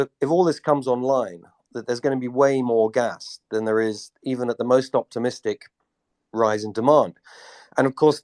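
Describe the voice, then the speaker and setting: A man with a cold and a gravelly voice, explaining energy markets in a casual online discussion and often repeating words as he goes. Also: medium pitch, a little mellow. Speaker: energy analyst guest. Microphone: remote audio call.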